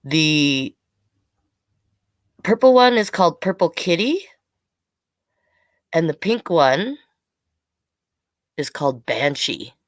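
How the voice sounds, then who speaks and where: Storytime host: woman, low voice, informal and rambling, mixing picture-book reading with loose chat. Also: very animated, takes it slowly, pauses often. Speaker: drag king storytime host and reader.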